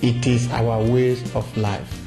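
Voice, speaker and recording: A man reading scripted narration in a slow, declarative way. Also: mid-range voice, level tone, over loud music.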